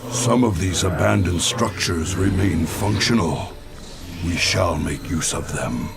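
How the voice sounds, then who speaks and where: A man playing a grave, weary old alien warrior mystic in a video game, with a gravelly voice and a solemn, portentous delivery. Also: low voice, slow, heavy background noise, heavily acted. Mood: watchful, resolute. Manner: solemn, theatrical, portentous phrasing. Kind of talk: dramatic scripted game narration and dialogue.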